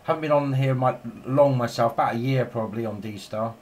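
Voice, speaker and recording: A man's voice: mid-range voice, a little fast, darkish tone. A man chatting casually and in a rambling way as a radio operator filming in his home shack. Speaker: amateur radio operator and video maker.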